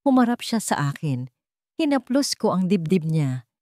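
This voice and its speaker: A woman with a fairly low voice narrates a first-person drama for an audiobook. Her delivery is solemn and emotive, recorded dry with hardly any room sound. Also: sing-song, moderate pace, warm tone, no room sound.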